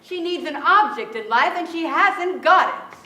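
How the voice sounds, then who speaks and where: High-voiced brisk older woman. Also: animated, bright, forceful tone, full character voice. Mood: insistent. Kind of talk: dramatic staged reading. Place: theatre stage before an audience. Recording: echoey theatre stage.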